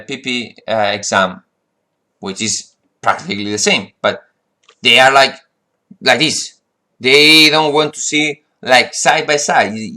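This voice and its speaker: A man's voice: lowish voice, pushed and bright. Frustrated man. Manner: frustrated, rambling with restarts and fillers. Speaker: flight sim vlogger. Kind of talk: casual monologue.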